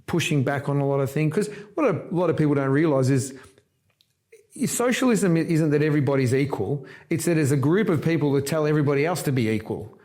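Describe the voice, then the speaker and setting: A middle-aged man born in Argentina who speaks, sitting as a political guest in a relaxed conversation. His voice sits a little higher than most men's. He talks emphatically and keeps cutting off words to restart them. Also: brisk.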